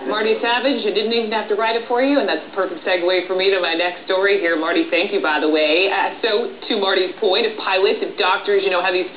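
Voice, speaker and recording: A woman's voice: medium pitch, fairly even intonation, fast talker, hardly any pauses. An American woman in her thirties presenting live news as an anchor. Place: TV studio. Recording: dull echoey capture from a television, faint noise.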